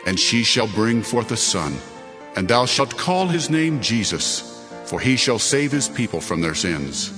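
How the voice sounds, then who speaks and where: A man's voice: medium pitch, big pitch swings, a little slow. A man playing a solemn angel who delivers divine commands in a declamatory dramatized scripture reading. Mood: solemn, reassuring. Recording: music behind the voice. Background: music.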